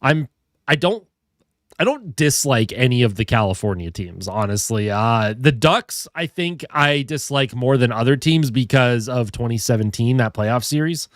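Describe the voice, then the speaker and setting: A young man hosts a casual live stream with a stuffed-up voice from a head cold, sounding a little tired as he chats with viewers. His pitch sits in a middle range for a man. The sound is dry and close, with no room echo. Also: expressive, moderate pace. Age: young adult. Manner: chatty, reads and greets viewer comments. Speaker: hockey streamer.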